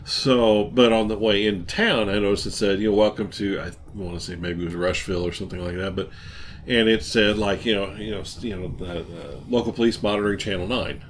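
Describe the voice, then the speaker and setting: Lowish-voiced man rambling over background noise. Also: steady tone, brisk. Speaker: co-host of an old tech show. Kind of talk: casual anecdotal chat. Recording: background noise, room ambience.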